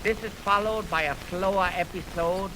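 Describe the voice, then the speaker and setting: A man's voice: sits high, fairly even intonation. Noisy 1920s radio recording, formal male lecturer. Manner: formal, oratorical, measured phrasing. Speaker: radio host introducing orchestral music. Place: radio broadcast. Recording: noisy, crackly 1920s optical film radio aircheck.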